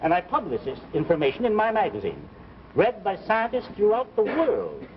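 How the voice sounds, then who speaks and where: A man's voice: high voice, sing-song, moderate pace, gravelly, heavily acted. Loyal, careful male editor: swooping, emphatic delivery, vintage sci-fi drama, muffled recording. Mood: reasoning. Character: loyal, cautious male magazine editor, old friend. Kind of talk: 1950s science fiction anthology drama acting. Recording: dull, noisy 1950s broadcast recording.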